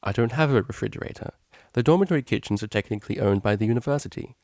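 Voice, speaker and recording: A man's voice: mid-range voice, racing, darkish tone, dry recording, clean studio sound, close to an everyday voice. A man voicing a prim, naive young female cellist pony, studious and earnest, with precise, bookish speech. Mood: deadpan.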